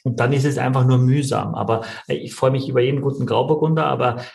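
A man's voice: medium pitch, steady tone, fast, creaky, a little mellow. A man co-hosting a casual wine talk, giving opinions in long, discursive chains of clauses. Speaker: podcast co-host, wine connoisseur.

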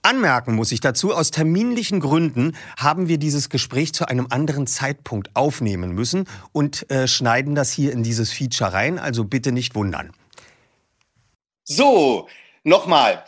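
A man's voice: a little high, a bit bright, slightly rough. A man from Germany hosting a podcast, interviewing an author in a friendly way with some fillers. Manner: friendly, asks questions with fillers. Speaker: podcast host.

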